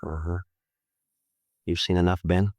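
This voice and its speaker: Deep-voiced, deadpan American police sergeant. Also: male voice, unhurried, no echo, clean studio sound. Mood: matter-of-fact. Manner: clipped, matter-of-fact, deadpan. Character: terse, methodical police sergeant narrating his case. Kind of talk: 1950s radio crime drama narration.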